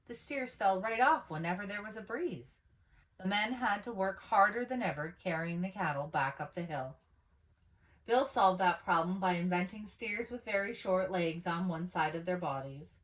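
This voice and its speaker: A woman reading a picture book aloud as a storyteller, with animated, dramatic emphasis on key words. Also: lowish voice, poor audio. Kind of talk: expressive children's story read-aloud.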